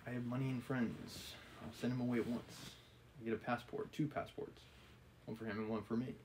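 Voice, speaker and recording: A man reading the part of an obsessive, menacing gentleman from a novel, insistent and persuasive. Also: medium pitch, steady tone, fairly quick, close to an everyday voice, low-quality recording. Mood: feverish pleading. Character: obsessive, menacing gentleman pleading with and cornering a woman. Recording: clearly audible background noise.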